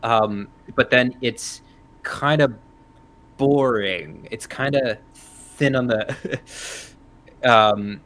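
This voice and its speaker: A man on a panel, explaining his view in a casual, measured and analytical way. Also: mid-range voice, a bit bright, rough recording. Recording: faint background noise.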